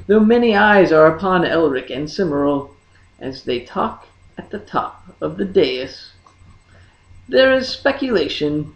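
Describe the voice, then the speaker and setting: A woman narrating a fantasy story in a dramatic, deliberate, theatrical manner with pauses for effect. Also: mid-range voice. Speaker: audiobook narrator. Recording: dull lo-fi, echoey room.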